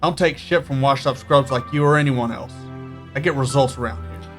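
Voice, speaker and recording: Sneering cop played by a man, loud music. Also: medium pitch, fairly even intonation, a little fast, natural voice. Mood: sneering, hostile. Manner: sneering, confrontational. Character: hostile, taunting, boastful male police officer. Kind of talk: audio drama acting.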